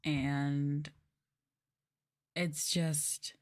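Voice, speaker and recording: Young female streamer, slightly low pitch, casual ASMR-stream chat, apologetic venting delivery. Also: level tone, extremely slow. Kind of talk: casual chatty livestream talk, meant as soft ASMR.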